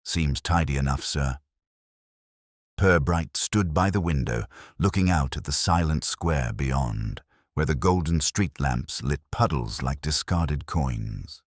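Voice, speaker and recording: A man reading a scripted mystery story in a measured, deliberate, literary manner. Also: very deep voice, animated, no room sound, clean studio sound. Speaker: narrator. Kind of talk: scripted audiobook narration of a mystery, acting out dialogue.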